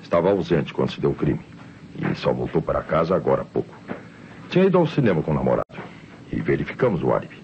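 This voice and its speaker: An actor playing an excitable Brazilian police officer in an old radio mystery, speaking emphatically and theatrically. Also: male voice, rumbling low, fast talker, gravelly, heavily acted. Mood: urgent, intrigued. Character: excitable male police officer reporting to his inspector. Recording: muffled, noisy old radio recording.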